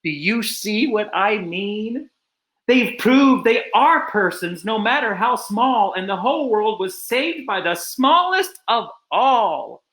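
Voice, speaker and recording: A storyteller voicing a gentle, earnest elephant in a read-aloud picture book, asking questions with urgency. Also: mid-range voice, expressive, takes it slowly, roomy sound, pitched above the performer's own voice, poor audio. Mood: proud. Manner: earnest, pleading.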